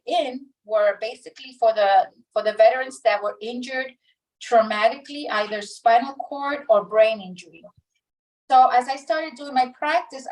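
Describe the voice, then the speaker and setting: A middle-aged woman from the Dominican Republic tells her life story with a fairly high voice, pausing and restarting as she goes. She sounds set back from the microphone. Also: distant mic, poor audio. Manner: halting, many restarts and pauses. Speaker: interview guest. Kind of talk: reflective personal storytelling.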